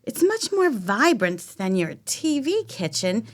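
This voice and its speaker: Woman acting giggly, tipsy, slow-talking flirt. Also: fairly high pitch, sing-song. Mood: hesitant, impressed. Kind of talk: stage readers theater audio play.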